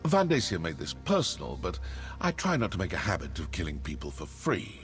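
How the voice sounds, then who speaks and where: A man's voice: deep voice, huge pitch swings, a little fast, soft background music, exaggerated voice. A man playing a wry, self-satisfied mercenary assassin in a fantasy game. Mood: wry, boastful. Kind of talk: scripted fantasy game dialogue.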